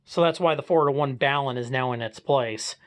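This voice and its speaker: A man's voice: medium pitch, normal speed. A man with an American accent casually presenting a hobby vlog, walking viewers through equipment. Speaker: amateur radio hobbyist presenter.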